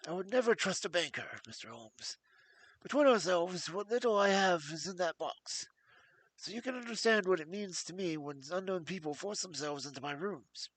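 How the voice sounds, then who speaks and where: A frightened, jittery fat old man, put on by a male reader, stammering in a pitched-up, breaking voice that leaps about as it goes. The sound is husky and close, with no room around it. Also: fairly bright tone, dry recording, poor audio. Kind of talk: audiobook character voice.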